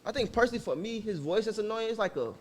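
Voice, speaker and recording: High-voiced, animated man on a podcast. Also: very fast. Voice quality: fairly high voice. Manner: animated, complaining with a laugh. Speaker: podcast co-host. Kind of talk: casual podcast chat.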